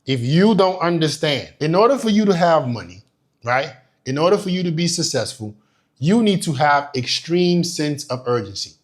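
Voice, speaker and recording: A man's voice: fairly high pitch, animated, normal speed. A young man from Nigeria giving a motivational business talk, emphatic and profane, hammering points home by repeating them. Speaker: business coach.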